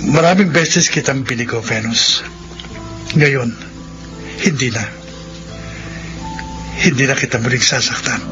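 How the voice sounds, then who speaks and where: Earnest Filipino suitor played by an actor over music. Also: male voice, mid-range voice, unhurried, long pauses, muffled recording, natural voice. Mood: persuasive, earnest. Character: Cedric, persistent attentive suitor, earnest and persuasive. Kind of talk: Filipino radio drama acting.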